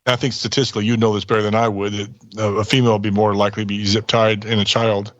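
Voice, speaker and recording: A man's voice: fairly low pitch, little pitch movement, rapid-fire, a bit bright, no room sound. A man chatting casually as a podcast co-host, starting hesitantly and then running on, on dull-sounding audio. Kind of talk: casual chat. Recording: dull, band-limited audio.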